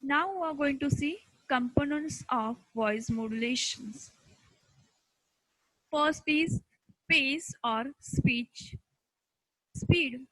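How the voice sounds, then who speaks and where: A woman teacher with an Indian accent giving an instructional lecture in short, deliberate, emphatic phrases. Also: high voice, a bit bright, dry recording, low-quality recording.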